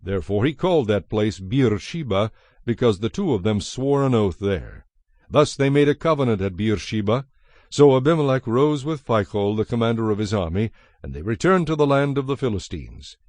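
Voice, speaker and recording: A man giving a measured, solemn narration of scripture as the narrator of a dramatized production. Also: fairly low pitch, expressive, no room sound. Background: soft music.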